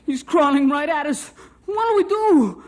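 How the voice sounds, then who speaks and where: A woman playing Paul, an eager, excitable young boy in an old radio drama. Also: sits high, measured pace. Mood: excited. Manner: eager, excitable boyish chatter. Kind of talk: 1950s radio drama acting. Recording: old radio recording with faint hiss.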